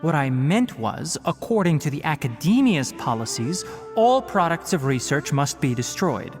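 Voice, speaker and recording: A man's voice: fairly high pitch, sing-song, normal speed, soft background music. A man voicing a stern, scholarly young male forest ranger who speaks in a precise, lecturing way, in a game cutscene. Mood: earnest. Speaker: forest watcher.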